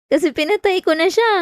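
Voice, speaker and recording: A woman's voice: very high voice, no echo, studio quality. A woman with a Filipino accent playing an obsessive, possessive girlfriend in an intimate ASMR roleplay, coaxing and clingy with veiled menace. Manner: clingy, coaxing, breaking into giggles, veiled threats. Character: possessive obsessive girlfriend, sweetly menacing, unhinged yandere lover. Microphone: close dry microphone.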